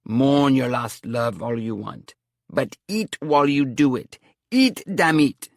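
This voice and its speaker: A man's voice: mid-range voice, animated, slow, vocal fry, no room sound, studio quality, voice pushed up. A man voicing a brassy middle-aged woman fortune teller who scolds and bosses in a raised voice. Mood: scolding. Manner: scolding, loud and insistent.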